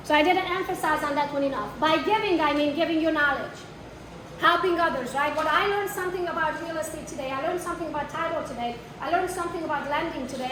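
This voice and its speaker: A woman with a foreign accent and a high voice runs a live workshop and talks to the room in a blunt, brash way. Her voice is set far from the mic, with echo and noise in the room. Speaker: real estate marketing trainer. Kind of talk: live workshop talk to an audience. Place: training room with a live audience.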